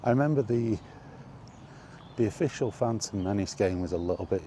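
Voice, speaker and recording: A man hosting a casual, rambling chat, thinking aloud with hesitant pauses. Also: lowish voice, expressive, dark tone. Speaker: video host reminiscing about games. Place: on the move, walkie talkie vlog. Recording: faint ambient background noise.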